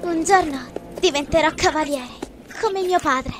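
Woman as an eager, very high-pitched little girl. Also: measured pace. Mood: pleading, earnest. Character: eager, excitable little girl, a knight's daughter. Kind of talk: animated film fandub. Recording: music loud behind the voice.

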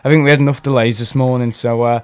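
Hoarse man streaming a game. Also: medium pitch, fairly even intonation, quick pace. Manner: rambling, frequent throat clearing. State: hoarse with a cough. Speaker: gaming streamer. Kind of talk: casual live gameplay commentary.

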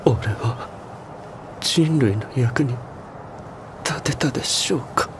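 Casting: male actor as dying devoted soldier, anime game, weak, gasping, extremely slow, noisy ambience. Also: medium pitch, natural voice. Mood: fading, pleading. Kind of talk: Japanese anime game voice acting.

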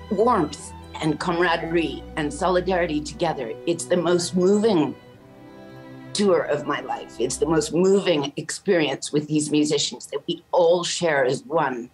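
A middle-aged woman from Canada with a notably low voice speaks with earnest passion over a video call, as a guest in a news interview. Faint music sits beneath her words. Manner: earnest and impassioned. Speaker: orchestra conductor. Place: indoor room at home.

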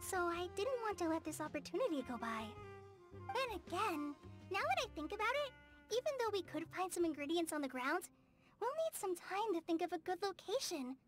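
A woman's voice: very high-pitched, big pitch swings, fairly quick, faint music behind. A woman voicing a shy, earnest teenage girl in a video game, with a hesitant, stammering, apologetic manner. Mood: friendly, thoughtful. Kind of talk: video game character dialogue.

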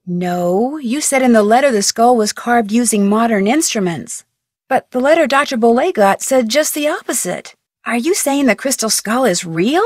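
A woman's voice: a little high, lively intonation, moderate pace, no room sound, studio quality. A woman voicing a curious, polite young amateur detective in a video game, asking earnest questions. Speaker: player character.

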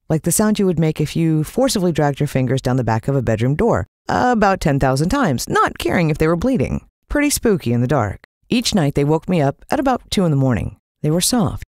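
An American woman narrating a children's story in the first person, expressive and suspenseful. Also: low voice, no echo, clean studio sound. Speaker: audiobook narrator.

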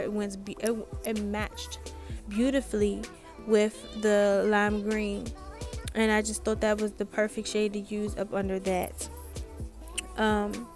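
Woman, mid-pitched, chatty and informal makeup tutorial voice-over over music. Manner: chatty, informal, addressing viewers. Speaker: makeup artist narrating a tutorial.

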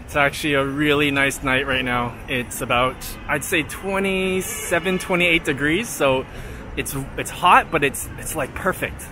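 A Canadian man in his thirties talks casually into a handheld camera as he strolls through a nightlife district. His voice sits a little high and stays relaxed and upbeat. Music plays clearly in the background. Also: unhurried. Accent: Canadian English. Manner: chatty, easygoing, upbeat narration with fillers. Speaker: vlogger. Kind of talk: casual walking vlog. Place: busy city streets and bars at night. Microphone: handheld camera at arm's length.

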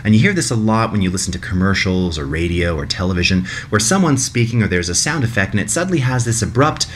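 A man in his thirties with a fairly low voice presents a screencast tutorial. His delivery is very lively and conversational, swinging widely in pitch as he demonstrates. There is faint room noise behind him. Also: fast talker. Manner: animated, demonstrative, mimics sounds vocally. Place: small room indoors.

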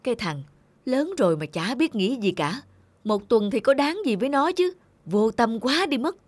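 An actor playing an earnest middle-aged father in an animated film, urging and reproachful. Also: medium pitch, moderate pace. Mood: exasperated. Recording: music under the dialogue.

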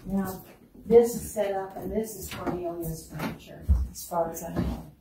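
A woman's voice: lowish voice, normal speed. Chatty woman curator in an echoey room. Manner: chatty, lingers on exhibits, laughs easily. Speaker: museum curator giving a tour. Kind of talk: casual guided tour talk. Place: indoor museum room. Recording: roomy, distant mic, faint noise.

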